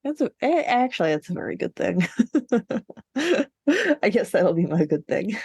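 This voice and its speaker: A woman's voice: mid-range voice, sing-song, unhurried, darkish tone, breathy edge, no echo, studio quality. A woman co-hosting a podcast in casual chat, with a wry, self-mocking, joking way of talking. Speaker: podcast co-host.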